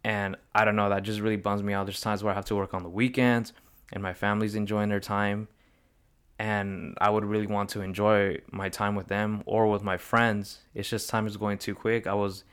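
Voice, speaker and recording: A man's voice: fairly low pitch, level tone, normal speed, a bit bright, clear, resonant tone. A man in his twenties hosting a solo motivational podcast, talking in an earnest, rambling way and often correcting himself mid-sentence. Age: 20s. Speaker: podcast host.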